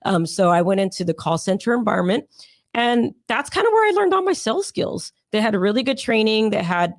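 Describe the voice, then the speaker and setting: A young adult woman, hoarse and raspy from sinus pressure, chatting as an interview guest in a rambling way with many fillers. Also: medium pitch, big pitch swings, brisk, no room sound, studio quality. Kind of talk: casual interview storytelling.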